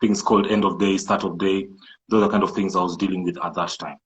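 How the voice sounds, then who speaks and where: A Kenyan-accented man from the coast whose first language is Swahili, speaking in a fairly low voice. He talks in a measured, thoughtful way, often restarting his sentences as he works through his answer. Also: flat delivery, dull audio. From Kenyan coast, Malindi. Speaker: guest entrepreneur. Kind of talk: casual interview talk.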